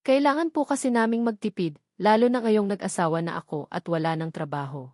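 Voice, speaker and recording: A woman's voice: mid-range voice, a little fast, a little mellow, dry recording, studio quality, natural voice. A woman narrator voicing a proud, stubborn young breadwinner, polite but firm, in an audio storybook. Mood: shy, weary. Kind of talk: audio storybook character dialogue.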